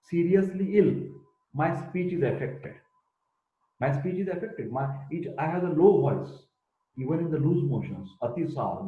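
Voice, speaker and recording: An older man with an Indian accent, a professor giving an emphatic lecture and reciting Sanskrit verses. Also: fairly high pitch. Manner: emphatic, repeats key terms, chants Sanskrit verses. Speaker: Ayurveda professor. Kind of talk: recorded lecture. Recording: echoey room, tinny phone-like sound.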